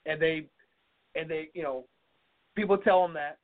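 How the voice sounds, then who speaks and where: A man's voice: a little high, fairly even intonation. A man co-hosting an advice talk show, chatting and telling stories from his own life. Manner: quick, conversational, tells personal stories. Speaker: co-host. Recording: phone-like narrow audio.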